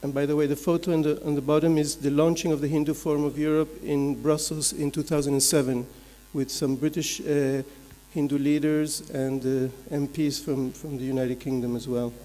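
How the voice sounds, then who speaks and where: A man from Belgium, giving a measured, explanatory slide presentation as a conference speaker, point by point. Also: fairly high pitch, level tone, mellow. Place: large conference hall. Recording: echoey hall with long reverb.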